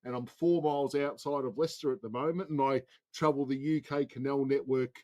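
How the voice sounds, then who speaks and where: A man's voice: medium pitch, steady tone, brisk, darkish tone. A man with an Australian accent hosting a casual group video call, chatting in an informal, chummy way. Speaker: host of networking call.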